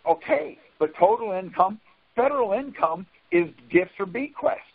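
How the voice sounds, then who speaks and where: A man with a notably high voice argues his points on a group call. He is insistent, repeats himself and cuts in. The audio is dull and lo-fi. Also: animated, moderate pace, gravelly, breathy edge. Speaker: conference call participant. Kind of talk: argumentative explaining on a group call. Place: group phone conference call.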